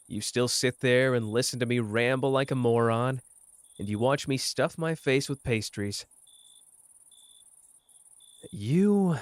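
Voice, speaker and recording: A man's voice: mid-range voice, dry recording, natural voice. Guy voicing a cocky but tender young sorcerer, asmr roleplay, takes his time, dead dry sound. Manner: informal, hesitant when talking about feelings. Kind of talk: intimate roleplay audio acting.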